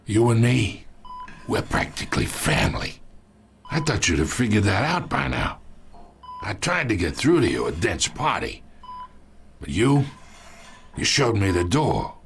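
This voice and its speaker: An actor playing an ageing, wounded, sly mob boss in a video game, with a gravelly voice and a taunting, falsely chummy drawl. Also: male voice, on the low side, very animated, measured pace, long pauses, a bit bright, full character voice. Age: older. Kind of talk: dramatic video game acting.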